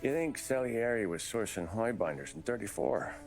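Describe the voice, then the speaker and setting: Male, low register, probing hard-boiled 1930s cop; measured game acting over faint music. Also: animated, darkish tone. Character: probing, seasoned 1930s police detective. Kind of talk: dramatic video game acting.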